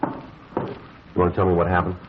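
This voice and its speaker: An actor playing a calm, patient American police detective, questioning politely and tersely in a deadpan 1950s radio procedural style. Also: male voice, low voice, moderate pace, natural voice. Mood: patient, businesslike. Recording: muffled, hissy 1950s radio recording.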